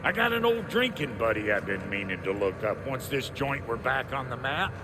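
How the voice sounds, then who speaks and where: An actor playing a crude, gruff, wisecracking dwarf smith in a video game, with a gravelly, folksy, slangy drawl. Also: male voice, medium pitch, sing-song, moderate pace, background noise, lightly acted. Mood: cocky banter. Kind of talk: video game character acting.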